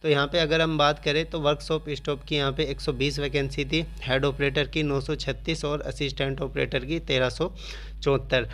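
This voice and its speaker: Man, flat-pitched coaching update. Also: normal speed. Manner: repetitive, explanatory phrasing with English exam terms mixed in. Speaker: host of an exam coaching channel. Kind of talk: informational exam update addressed to students.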